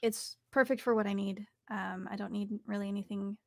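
A woman's voice: mid-range voice, fairly even intonation, a little fast, gravelly, no echo. A young woman talking casually as an interview guest about her voice acting work. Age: young adult. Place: small home recording studio, indoors.

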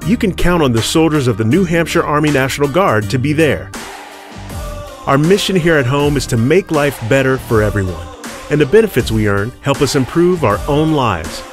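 A man reads a scripted recruitment ad earnestly and with measured pacing. A light music bed sits under his voice. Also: mid-range voice. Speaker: ad voice-over.

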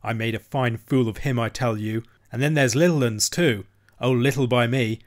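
A man's voice: medium pitch, normal speed, lightly acted. A man voicing a boastful, half-witted young cabin boy who brags with a weak swagger. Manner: swaggering, sly, bragging.

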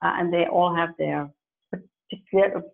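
A woman's voice: fairly low pitch, fairly even intonation, moderate pace, creaky. A woman in her forties whose first language is Dutch, a career coach answering questions thoughtfully on a video call from her home office, with many fillers and restarts. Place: home office with plants and pictures. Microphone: earphone mic on a video call. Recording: phone-like narrow sound.

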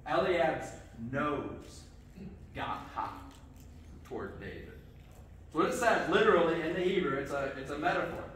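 A man's voice: a little high, moderate pace, long pauses, a little hiss or hum. A middle-aged man giving a children's sermon, asking the kids questions and checking in with "okay" as he goes. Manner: lively, asks the kids questions, says okay often. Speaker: pastor. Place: church sanctuary with congregation. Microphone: far camera. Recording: echoey church from far off.

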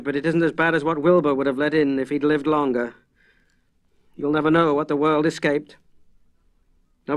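A man's voice: fairly high pitch, fairly bright tone, pitched above the performer's own voice, lightly acted. Man as a resolute, raised-voice old scholar. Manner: decisive, reassuring, urgent. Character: resolute old scholarly librarian rallying frightened men.

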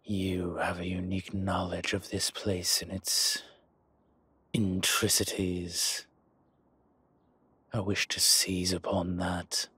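Male actor as dragon crime lord, very husky, low-pitched, suave, scheming, measured intimate roleplay monologue. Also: completely flat delivery, pushed and bright, no echo, full character voice. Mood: sly, persuasive. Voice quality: husky, breathy. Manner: measured, smooth-talking, deliberate pauses. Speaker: audio roleplay performer.

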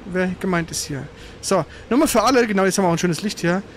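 A man's voice: high voice, expressive, fast. A man giving casual, colloquial live commentary as he plays a video game, muttering his reactions. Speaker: gaming streamer, player. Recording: noisy stream with game sound behind.

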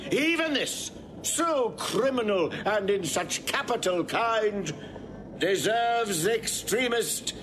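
An actor playing a stern, accusing Roman tribune, proclaiming deliberately in classical Shakespearean drama. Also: male voice, sits high, animated. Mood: commanding. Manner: declamatory, deliberate, public proclamation. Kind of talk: classical Shakespearean stage acting. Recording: noisy old recording with crowd.

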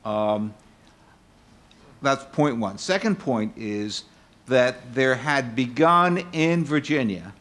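Older man explaining at a measured, slow pace. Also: mid-range voice. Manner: hesitant, explanatory, point by point. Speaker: panelist. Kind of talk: extemporaneous panel discussion. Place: auditorium panel table.

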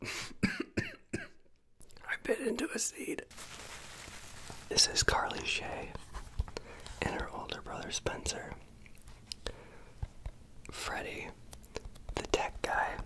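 An ASMR host whispering close to the mic in a soft, meandering chat full of asides. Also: drawn-out words, pauses often, low-quality recording. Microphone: close microphone.

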